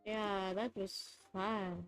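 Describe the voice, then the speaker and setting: A woman's voice: mid-range voice, level tone, very slow, faint music behind. A woman with an Indian accent giving casual live-stream reactions to a video game. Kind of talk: casual reactions, mixing Hindi and English. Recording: faint noise, game ambience behind.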